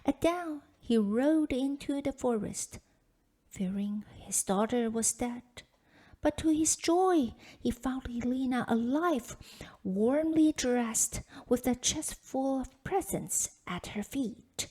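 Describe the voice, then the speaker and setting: A woman narrates a children's folktale from a book. Her voice sits fairly high, with a soft, very mellow tone, and she reads expressively as a storyteller. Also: animated, slow pace, breathy edge. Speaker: storybook reader. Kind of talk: expressive scripted read-aloud of a children's folktale.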